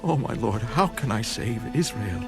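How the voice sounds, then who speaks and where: A man's voice: medium pitch, expressive, measured pace, vocal fry, over music. A man playing a humble, doubting young Israelite farmer in a dramatized scripture audio drama, earnest and pleading in formal phrasing.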